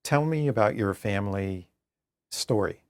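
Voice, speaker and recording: A man hosting a show, asking the guest brief, measured questions. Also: lowish voice, lively intonation, darkish tone, smooth, no echo. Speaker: show host. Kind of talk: interview questions.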